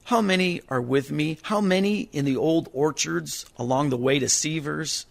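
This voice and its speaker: A man's voice: on the high side, normal speed, gravelly. A man acting a wistful dead villager dreaming of his youth, in a reflective, lyrical radio monologue. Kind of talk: dramatic verse monologue for radio.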